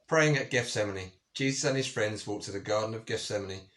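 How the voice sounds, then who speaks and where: Low-voiced man reading a Bible story. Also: fairly quick, fairly bright tone. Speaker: story reader. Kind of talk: scripted narration of a children's Bible story.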